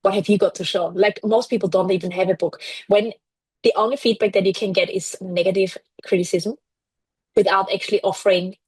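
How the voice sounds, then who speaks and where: Woman, from Austria, English speaker, breathy tone, blunt and animated, dry sound. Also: mid-range voice, a little fast, no echo, low-quality recording. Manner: frank, animated, laughs often. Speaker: podcast guest, brand strategist. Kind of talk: casual interview chat.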